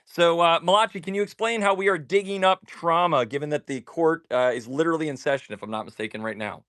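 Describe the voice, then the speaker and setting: A man's voice: on the high side, quick pace, fairly bright tone. A man hosting a live audio discussion, pressing and interrogating a caller and cutting in with questions. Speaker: host of a live audio space. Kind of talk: heated live audio space moderation.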